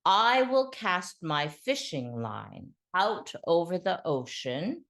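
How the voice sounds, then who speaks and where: A woman voicing a bold, boastful male demigod trickster in a storybook, with emphatic, drawn-out menace. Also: fairly low pitch, very animated, fairly bright tone, full character voice. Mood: bold, menacing.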